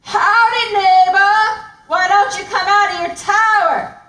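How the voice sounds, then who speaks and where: A woman's voice: very high-pitched, fairly even intonation, slow pace, sharp tone, soft background music, full character voice. A woman voicing a hungry, scheming, bumbling male lion in a children's puppet show, stammering and wheedling, recorded in an echoey room. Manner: stammering slips, growly exasperation. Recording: roomy, distant mic, noisy.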